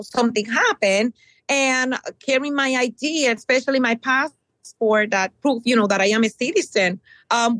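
A middle-aged woman from Puerto Rico, a bilingual middle school teacher, answering interview questions earnestly with many pauses and ums. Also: fairly high pitch, fairly bright tone, dry recording, low-quality recording.

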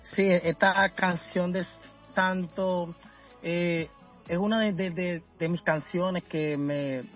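A man from Venezuela talking casually as a guest in a radio interview, halting and often searching for his words. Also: sits high, fairly even intonation, fairly bright tone, highs cut off, faint music behind. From Caracas, Venezuela. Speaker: musician being interviewed.

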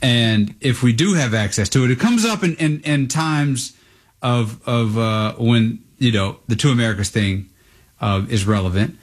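A laid-back male sports radio host with a wry, joking manner. His voice has a husky edge and sits at a middle pitch. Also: moderate pace. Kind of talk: casual sports talk.

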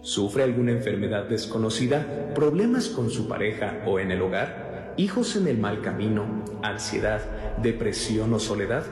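A man's voice: lowish voice, expressive, normal speed, darkish tone. A man announcing a scripted radio advertisement with an emphatic, persuasive sales delivery. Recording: echoey voice over prominent music.

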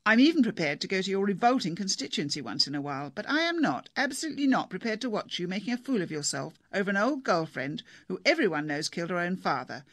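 A man voicing a bitterly angry wife shouting at her husband. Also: high voice, big pitch swings, fast talker, few pauses, no echo, studio quality, pitched above the performer's own voice, heavily acted. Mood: furious. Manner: furious, shouting.

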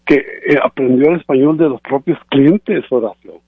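A man's voice: medium pitch, normal speed, slightly rough, no echo. A man calling in to a radio show, explaining dental care in a casual, repetitive way. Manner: explanatory, repeats points. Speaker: regular guest presenting sponsor. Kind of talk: casual radio chat, promotional. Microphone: phone call. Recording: tinny phone line.

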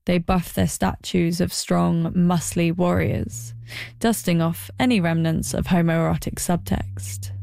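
A woman narrating her own documentary in a wry, reflective tone. Her voice sits fairly low, with a dark, mellow color and a gravelly fry at the ends of phrases. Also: steady tone, a little slow. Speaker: documentary presenter.

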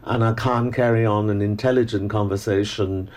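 Older man, educated hong kong english accent, lowish voice, thoughtful, restarts often, small laughs. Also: steady tone, normal speed, darkish tone. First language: Cantonese. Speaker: interview guest. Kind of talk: relaxed radio interview, reminiscing.

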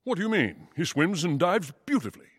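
A man's voice: high voice, big pitch swings, brisk, breathy edge, no room sound, studio quality, heavily acted. An actor playing a pompous, proud father swan in a children's story, with a resonant voice and flowery, oratorical delivery.

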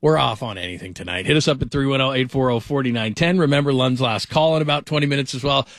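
A man's voice: mid-range voice, quick pace, fairly bright tone, no echo, studio quality. Easygoing male sports radio host. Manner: friendly, easygoing, plugs the phone lines. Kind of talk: late-night sports talk radio. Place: radio studio.

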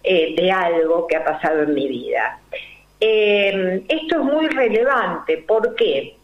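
An older woman with a Rioplatense Spanish accent, a numerology guest explaining her ideas slowly and deliberately, full of fillers and rhetorical questions. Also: lowish voice, animated. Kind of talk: explanatory interview talk. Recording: roomy, dull lo-fi recording.

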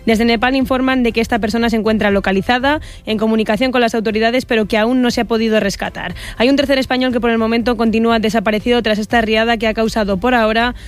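A man reads a news report at speed, his voice high for a man. The delivery is packed and steady throughout. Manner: rapid, dense reading.

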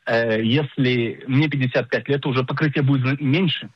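A middle-aged man explaining things as an expert guest in a radio interview, didactic and emphatic, pausing to search for words. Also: medium pitch, fairly even intonation, breathy edge, no echo. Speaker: insurance agent and financial planner, radio guest. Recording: dull lo-fi radio sound.

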